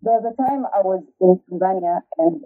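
A woman's voice: mid-range voice, a little fast. A young Kenyan woman with a Kenyan accent, a travel blogger chatting as a guest, repeating words often and laughing easily. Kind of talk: casual interview chat. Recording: phone-like line, husky.

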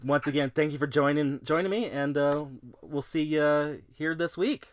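A man's voice: fairly high pitch, steady tone, moderate pace, dry recording. A man hosting a podcast, talking in a rambling, conversational way through sign-offs and plugs. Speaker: podcast host.

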